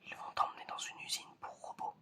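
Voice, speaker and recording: A man playing a stern, menacing examiner in an ASMR roleplay, whispering slowly and deliberately. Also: pushed and bright. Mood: quietly menacing. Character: stern, menacing examiner testing a suspected robot.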